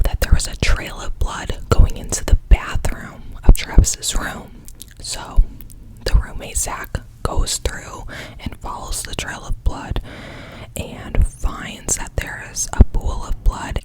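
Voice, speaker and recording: A narrator whispering a true crime story in a casual, chatty way, leaning on "like" a lot. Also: poor audio.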